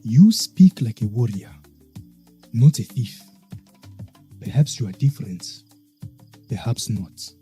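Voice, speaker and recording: An actor playing a proud, guarded African warrior king in an audio drama, speaking formally and solemnly in measured phrases. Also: medium pitch, big pitch swings, dark tone, soft background music. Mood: grave, guarded.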